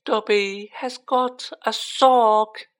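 A storybook reader voicing a small male house-elf servant with a shrill, squeaky voice and a devoted, wide-eyed manner. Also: fairly even intonation, a bit bright, smooth. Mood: awed disbelief. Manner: slow, drawn-out, wide-eyed, speaks of himself in third person.

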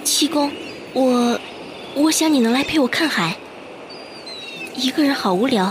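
A woman's voice: high voice, lively intonation, a little slow, background noise. A woman acting a naive, heartbroken young woman in a Chinese audio drama, pleading and emotional. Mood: hopeful, wistful. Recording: loud music under the voice.

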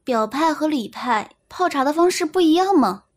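A woman's voice: sits high, lively intonation, normal speed. A woman acting a pert, defensive young daughter in an audio drama.

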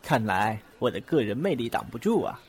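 A young man playing a caring, gently teasing but proud boyfriend in a Mandarin radio drama. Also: medium pitch, sing-song, brisk, breathy edge. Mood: hesitant. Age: young adult.

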